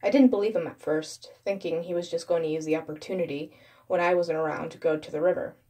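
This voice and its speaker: A woman with a somewhat low voice reads a horror story. She narrates in the first person as a creepypasta storyteller. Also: steady tone, fast talker, mellow.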